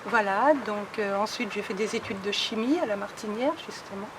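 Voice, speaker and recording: A woman's voice: medium pitch, moderate pace, noisy background. A woman in her seventies, a resident, recounting her youth in a conversational way with fillers. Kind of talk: recorded testimony.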